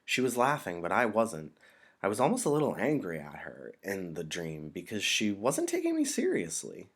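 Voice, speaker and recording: A hesitant young boy as read by a man from a storybook, speaking in a low register for his age. He is earnest and halting, embarrassed by what he confides. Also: huge pitch swings, slightly rough, exaggerated voice. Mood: uneasy confessing.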